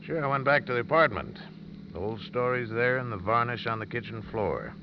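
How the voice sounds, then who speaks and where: A man acting a steady, folksy older lawman in a 1950s radio drama. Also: mid-range voice, fairly quick, lightly acted. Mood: calm, knowing. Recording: muffled, noisy vintage radio recording.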